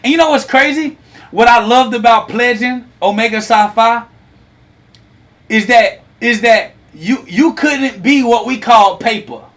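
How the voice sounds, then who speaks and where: 30s guy hosting a live stream, very high voice, slow drawn-out talk, teasing the chat. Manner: animated, teasing, asks the audience questions. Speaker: host. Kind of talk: lively talk to a live online audience.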